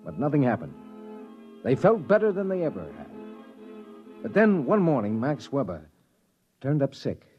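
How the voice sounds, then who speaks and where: A man's voice: medium pitch, huge pitch swings, moderate pace, long pauses. An actor playing a wry spaceship crewman who narrates a grim expedition in a dry 1950s radio drama style. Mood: brooding. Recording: dull old radio recording with music.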